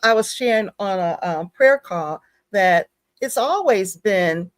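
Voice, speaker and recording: A woman's voice: mid-range voice, expressive, takes it slowly, dry recording. A woman hosting a casual faith-based talk, emphatic and conversational, often checking in with her listener. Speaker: talk show host.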